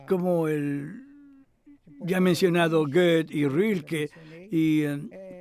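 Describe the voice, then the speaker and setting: An older man being interviewed, speaking in an unhurried, reflective way with hesitant fillers. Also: high-pitched, darkish tone.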